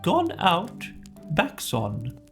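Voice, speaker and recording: A woman's voice: on the low side, wildly swooping pitch, drawn-out words, soft background music, exaggerated voice. A woman voicing a pompous owl who poses as learned, ponderous and hesitant, in a children's story. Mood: pompous, knowing. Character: pompous owl posing as learned, easily flustered.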